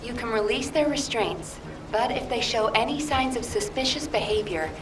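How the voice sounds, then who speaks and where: An actress plays a composed woman officer in a game, formal and controlled. The voice is fairly high and echoing, with clear music behind it. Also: moderate pace, lightly acted. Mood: firm. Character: composed female officer giving orders. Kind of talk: video game voice acting. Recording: roomy, distant, music behind.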